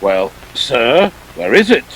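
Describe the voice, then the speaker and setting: A man's voice: medium pitch, very animated, slow pace, a bit bright, a little hiss or hum, rough recording. A man playing a genteel, verbose crime boss in a 1940s-style radio drama, pompous and courtly with a sly joviality. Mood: amused, sly. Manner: pompous, courtly, chuckling formality. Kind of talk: 1940s radio villain acting.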